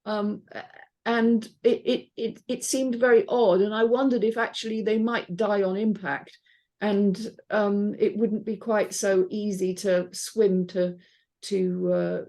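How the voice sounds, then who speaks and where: A woman's voice: mid-range voice, little pitch movement, unhurried, a little mellow. A woman discussing a script informally in a group, questioning and thinking aloud in a tentative way. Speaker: script panel reader.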